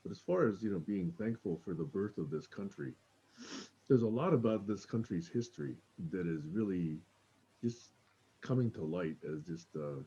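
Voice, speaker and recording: A man's voice: low-pitched, expressive, moderate pace, warm tone, highs cut off, a little hiss or hum. A man from Taiwan, talking thoughtfully and earnestly in a casual group chat. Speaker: group participant. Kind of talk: casual chat on a video call.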